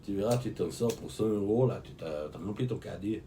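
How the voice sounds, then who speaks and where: A man's voice: lowish voice, brisk, crowd noise. A man, joking and rambling with lots of fillers as co-host in a casual live-stream chat.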